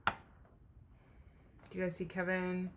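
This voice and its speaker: A woman's voice: fairly low pitch, completely flat delivery, slow pace, a bit bright, slight background noise. A woman teacher giving a children's read-aloud lesson, speaking expressively and explaining things in simple terms.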